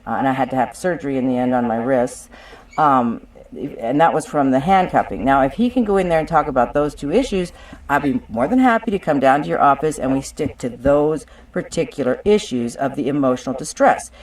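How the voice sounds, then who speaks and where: A woman's voice: extremely low pitch, expressive, a little fast, darkish tone. A woman on a conference call, picked up at some distance from the mic. She is combative and insistent, arguing her own legal case point by point in a contentious discussion.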